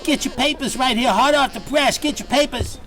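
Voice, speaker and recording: A man's voice: very high voice, brisk, a bit bright, soft background music. A man voicing an eager, folksy newsstand seller in a campy noir radio play, pestering and repeating himself. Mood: hawking. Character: eager, chattering newsstand paper seller, slow-witted and folksy. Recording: clearly noisy background ambience.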